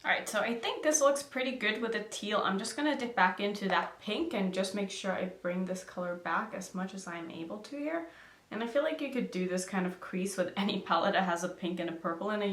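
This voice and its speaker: A young woman from Norway whose first language is Norwegian chats casually through a makeup tutorial. She thinks aloud and giggles often, at a mid pitch. Her voice rings with a roomy echo. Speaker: makeup vlogger. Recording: echoey room.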